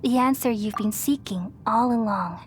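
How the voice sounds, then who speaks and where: Woman voicing a serene high-voiced ancient goddess. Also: slight background noise, close to an everyday voice. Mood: soothing. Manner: solemn, measured, poetic storytelling. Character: gentle ancient goddess of wisdom, serene and self-sacrificing. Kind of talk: scripted dramatic game voice acting. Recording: music behind the voice.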